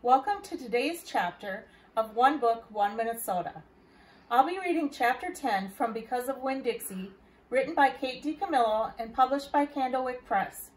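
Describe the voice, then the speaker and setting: A woman's voice: high-pitched, lively intonation, normal speed, low-quality recording. A woman reading aloud as a library storyteller, narrating a children's novel. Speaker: library staff reader.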